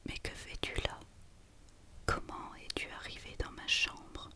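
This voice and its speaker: A woman's voice: a little slow, bright, forceful tone. A woman voicing a self-assured, kind little girl from a storybook, whispering in a teasing, matter-of-fact way. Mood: surprised.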